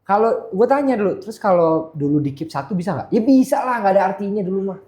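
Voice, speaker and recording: A man's voice: sits high, animated, fast talker, darkish tone. A man with a Jakarta Indonesian accent hosting a casual podcast, chummy and slangy, mixing in English words. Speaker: podcast host.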